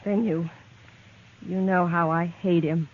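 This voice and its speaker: An American actress playing an earnest, quietly determined young frontier woman in a 1950s Western radio drama. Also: lowish voice, steady tone, slow. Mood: guarded, earnest. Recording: tinny, narrow-band 1950s radio recording.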